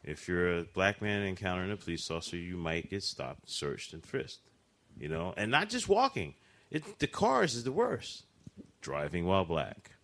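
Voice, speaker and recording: A man's voice: deep voice, big pitch swings, moderate pace, fairly bright tone. A man co-hosting a radio talk show, talking passionately and emphatically in casual chat. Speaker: radio co-host.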